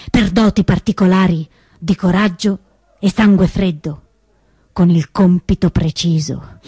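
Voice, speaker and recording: A woman actor delivering dramatic scripted stage narration in measured, emphatic phrases. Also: fairly low pitch, mellow, slightly rough, no room sound. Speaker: actress narrator.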